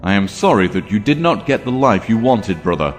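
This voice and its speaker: A man's voice: lowish voice, very animated, fairly quick. An actor playing a proud, aggrieved king and stern younger brother, emphatic and declamatory, in an audio drama. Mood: indignant, proud. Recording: reverberant hall, music behind.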